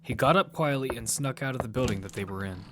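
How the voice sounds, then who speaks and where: A man's voice: medium pitch, quick pace, background noise. A man giving scripted narration for a piece of audio fiction. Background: music.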